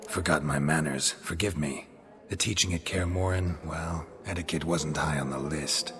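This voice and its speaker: Gruff monster hunter for fantasy game: extremely low voice, laconic and deadpan. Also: male voice, moderate pace, crowd noise. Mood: mock apologetic. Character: gruff, dry-witted male monster hunter.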